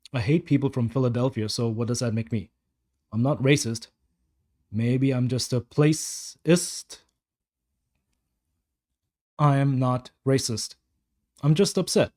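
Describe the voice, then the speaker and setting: A man's voice: medium pitch, slow pace, long pauses, a little mellow, close to an everyday voice. A man playing a blunt, defensive, fed-up security guard rambling through a confession in an audio story monologue. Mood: exasperated.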